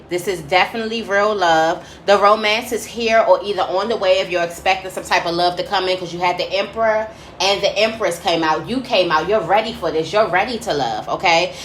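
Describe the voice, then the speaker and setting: A woman's voice: medium pitch, a bit bright. A woman tarot reader speaking straight to her listeners in an informal, slangy spiritual advice monologue, often checking in with short tag questions. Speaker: tarot reader giving a reading for Libra. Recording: roomy echo with faint background noise.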